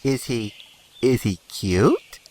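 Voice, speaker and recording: A childlike young cartoon colt, acted by a woman, very low for the part and swinging widely in pitch. The words come very slowly, simple and repeated, excitable, over faint noise. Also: exaggerated voice. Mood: delighted wonder. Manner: halting simple words, giggly, repeats phrases.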